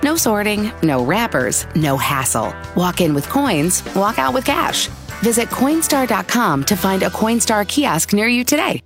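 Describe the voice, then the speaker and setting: A woman voicing radio commercials in a smooth, friendly read. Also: mid-range voice, sing-song, unhurried, over music. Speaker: ad announcer.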